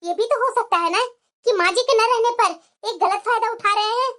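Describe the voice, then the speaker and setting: A woman plays a put-upon young housewife in a cartoon family drama. Her voice is high and bright, pitched up, with a deferential, plaintive manner that carries quiet resentment. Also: normal speed. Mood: hurt, resentful.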